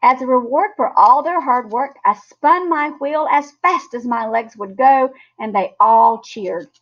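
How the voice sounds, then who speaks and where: A woman reading a children's story aloud in an expressive, animated way. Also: sits high, a little slow. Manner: animated, acts out character lines. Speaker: storybook reader. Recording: dull, lo-fi sound.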